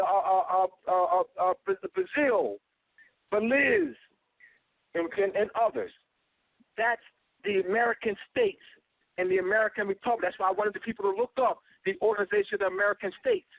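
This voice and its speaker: Guy lecturing hard on the phone, really high voice, lots of uh uh, tinny and dry. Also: dry recording. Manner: insistent, repetitive, many filler ums and uhs. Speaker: guest speaker. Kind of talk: call-in lecture, talking to a host.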